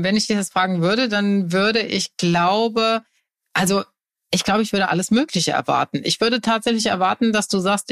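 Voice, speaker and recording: A woman co-hosting a talk podcast, thinking aloud hesitantly with many fillers. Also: mid-range voice. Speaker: podcast co-host. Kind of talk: casual conversation.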